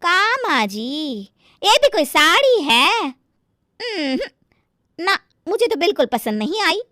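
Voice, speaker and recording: Woman playing a very high-pitched, sassy young daughter-in-law. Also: very animated, slow, sharp tone, no room sound, studio quality, heavily acted. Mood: petulant, scornful. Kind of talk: Hindi animated moral story acting.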